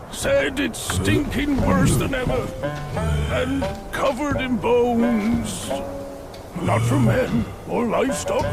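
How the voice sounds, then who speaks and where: A man playing a harried, bitter beast elder in a video game, with a strained, creaky voice and a scolding, exclamatory manner. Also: very high-pitched, full character voice.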